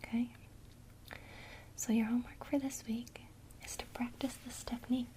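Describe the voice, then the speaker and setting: A woman teaching a witchcraft lesson in a soft, unvoiced ASMR whisper, guiding the listener gently and speaking straight to them. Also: a little high, little pitch movement. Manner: slow, soothing, intimate, addresses the listener directly. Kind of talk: whispered ASMR guided visualization.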